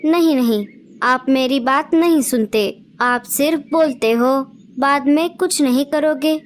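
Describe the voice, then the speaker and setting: A woman's voice: sits high, a little slow, a little hiss or hum. A woman voicing a nagging young female sparrow wife in a children's cartoon, with a whiny, complaining manner. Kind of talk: cartoon voice acting.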